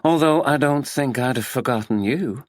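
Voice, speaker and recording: A man's voice: mid-range voice, moderate pace, dry recording, clean studio sound, voice pushed up, natural voice. A male narrator voicing a self-absorbed, perky American actress with a drawl, breezy and dismissive.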